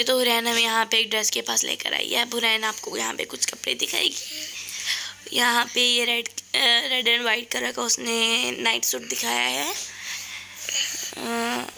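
A woman's voice: a little high, vocal fry, bright, forceful tone, a little hiss or hum. A woman from Pakistan with a sore throat, narrating a casual shopping vlog in a cheerful, chatty way and pointing things out to her viewers. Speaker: vlogger. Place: voice-over recorded later, indoors.

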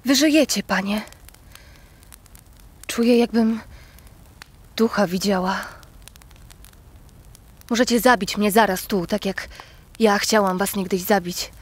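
An actress playing a proud, earnest young priestess in an audio drama, with a solemn, dramatic manner. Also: female voice, mid-range voice, slow, pauses often, breathy edge. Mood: solemn, resigned.

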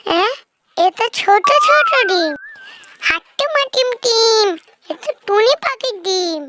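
A performer voicing a mischievous, always hungry trickster fox with a childlike, squeaky, singsong delivery in a children's fairy-tale cartoon. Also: expressive, a little slow, very noisy. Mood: greedy, searching. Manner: singsong, repeats a nonsense rhyming catchphrase.

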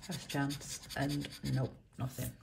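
A woman, croaky from a chest infection, chatting casually while she reads out letters and symbols on scratch cards at a table indoors. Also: rumbling low, level tone, slow, noisy background. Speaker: scratch card vlogger. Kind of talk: casual commentary.

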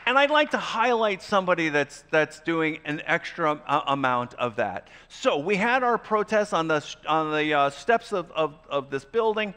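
An older man speaks from an auditorium stage, his voice high and bright. He halts and restarts often as he hosts the event. The sound carries a long hall reverb. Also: very animated, clear, resonant tone. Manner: halting, many restarts. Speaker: event host. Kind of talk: speech at an event.

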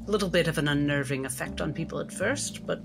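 A woman playing a courteous, wry female doctor who speaks politely and with gentle hesitation. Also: sits low, a little fast, gravelly, music in the background.

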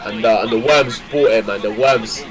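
A man commentating on an amateur football match from the touchline, calling the play excitedly and repeating himself. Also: normal speed. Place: outdoors on the touchline. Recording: loud crowd on an outdoor pitch.

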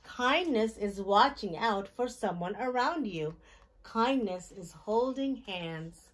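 A middle-aged woman hosting a children's storytime, reading a picture book aloud in simple, deliberate phrases and putting questions to her young listeners. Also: mid-range voice, animated. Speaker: children's storytime host and reader. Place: small decorated indoor room.

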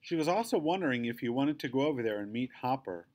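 A man voicing a kind, reassuring father in a storybook, calm and encouraging. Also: mid-range voice, fast, a little hiss or hum, lightly acted.

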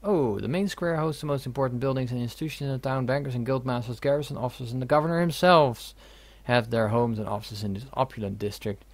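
A man's voice: medium pitch, fast talker, no room sound. A young man giving casual let's play commentary, reading game dialogue aloud with jokey asides. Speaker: gaming video host.

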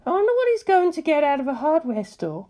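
Woman acting bossy young officer lady, swooping high. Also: big pitch swings, fairly quick, dark tone. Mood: brisk. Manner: exclaiming, teasing, indignant.

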